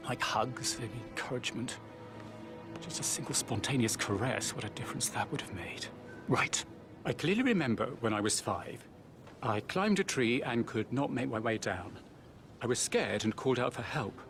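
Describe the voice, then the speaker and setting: An actor playing an insecure, troubled grown son who speaks softly and hesitantly. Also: male voice, medium pitch, lively intonation, moderate pace, gravelly. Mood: anxious. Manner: soft, hesitant. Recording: loud music behind.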